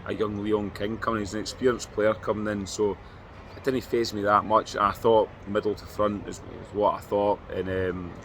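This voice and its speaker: A Scottish man co-hosting a football podcast outdoors, talking in a measured, thoughtful way. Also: fairly low pitch, flat delivery, a bit bright, a little hiss or hum. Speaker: podcast co-host. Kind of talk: post-match fan reaction chat.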